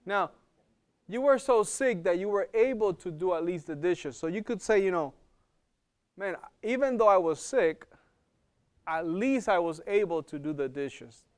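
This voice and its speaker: A man's voice: high voice, animated, measured pace. A man with an accent giving a live health lecture to a class, talking in a conversational way, often checking in with "okay?" and repeating words as he thinks. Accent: non-native English.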